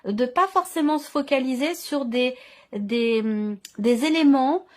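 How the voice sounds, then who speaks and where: A woman with a fairly high voice talks through a tarot reading. She speaks off the cuff, feeling for the right word and often doubling back on a phrase to restate it. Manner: halting, searching for words, repeats herself. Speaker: tarot reader. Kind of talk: intuitive tarot reading to camera, unscripted.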